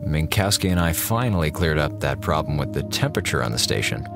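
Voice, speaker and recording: An actor playing a sarcastic, theatrical slacker communications officer on a space station in a radio drama. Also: male voice, rumbling low, very animated, fairly quick, vocal fry, over music, full character voice. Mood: wry, sarcastic. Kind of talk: radio drama monologue as a log.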